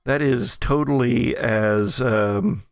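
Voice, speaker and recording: A man's voice: mid-range voice, slow, gravelly, no room sound. An American man hosting casual banter among friends with dry, joking understatement. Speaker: podcast host.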